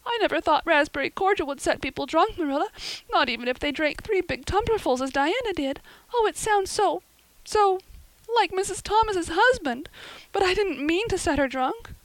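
A woman's voice: lively intonation, moderate pace, dry recording, low-quality recording. A woman voicing a wailing, melodramatic orphan girl. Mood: pleading, woeful. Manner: high-flown, breathless and wailing. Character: dramatic, tearful orphan girl, about eleven. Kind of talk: audiobook character voice.

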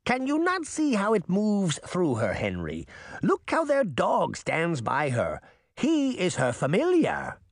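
A man doing a slow, imperious old witch. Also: high voice, huge pitch swings, pitched above the performer's own voice, exaggerated voice. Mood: calm. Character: ancient imperious female witch, slow and commanding.

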